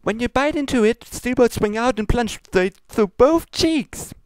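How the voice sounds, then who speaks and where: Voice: mid-range voice, expressive, measured pace, smooth, no room sound, pitched above the performer's own voice, natural voice. A reader voicing a perky, oblivious female cartoon pony baker with a guileless manner in a comedy fan fiction reading. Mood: gleeful.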